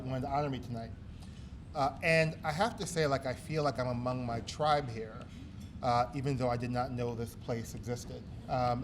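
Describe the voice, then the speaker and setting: A man's voice: mid-range voice, slight background noise. A man from Brooklyn, giving a chatty, self-deprecating award acceptance speech full of ums and uhs. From East New York, Brooklyn. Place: gala banquet hall.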